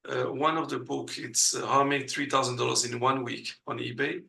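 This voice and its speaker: Gravelly-voiced Belgian man, hesitant. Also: mid-range voice, steady tone, a little fast, bright, forceful tone, a little hiss or hum. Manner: hesitant, many uh fillers, non-native grammar. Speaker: guest. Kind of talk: casual interview.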